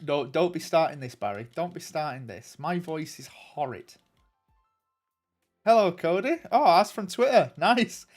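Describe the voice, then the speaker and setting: A young man with a noticeably nasal tone talks loosely on a livestream, answering viewers. His voice sits a little high for a man, and he restarts sentences and fills gaps with ums. Soft music plays faintly behind him. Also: expressive, a little slow. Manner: rambling and chatty, many ums and restarts. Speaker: streamer.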